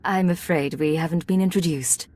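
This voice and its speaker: An actress playing a cool, professional Imperial secret agent in video game dialogue. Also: female voice, on the low side, steady tone, moderate pace, darkish tone, natural voice. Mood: cool, inquiring.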